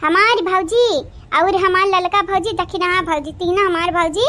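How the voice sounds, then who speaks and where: An actor with an Awadhi accent voicing a chattering, dramatic village woman in a comic cartoon, in a childlike squeaky voice. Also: steady tone, moderate pace, bright, forceful tone. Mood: animated banter.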